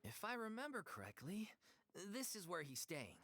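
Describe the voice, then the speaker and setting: Lively, breezy young troupe man. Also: sits high, moderate pace, faint music behind, heavily acted. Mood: musing. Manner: casual, easygoing. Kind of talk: video game voice acting.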